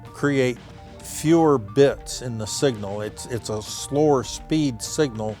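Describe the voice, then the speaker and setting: Mid-pitched man explaining technology over music. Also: slow, darkish tone. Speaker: technical expert. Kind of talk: plain explanatory documentary interview. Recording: music bed behind interview.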